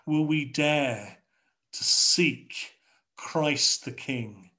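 Slow, measured English preacher on a video call. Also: male voice, a little high, bright, forceful tone. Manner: measured, rhetorical preaching with repeated phrases. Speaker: pastor. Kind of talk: sermon. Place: home study with bookshelves. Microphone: video call webcam.

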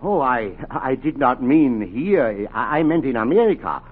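An actor playing an excitable, well-meaning professor in a 1940s radio drama, stammering and earnest. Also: male voice, mid-range voice, unhurried, husky. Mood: flustered, eager. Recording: muffled, crackly 1940s radio recording.

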